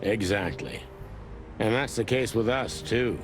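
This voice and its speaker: Old noir chicken detective, really deep, tired and cynical, music going behind. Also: male voice, big pitch swings, a little slow. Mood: resigned, brooding. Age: older. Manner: dry, brooding, hardboiled. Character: world-weary old male rooster police detective, cynical noir. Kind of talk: film noir game voice acting.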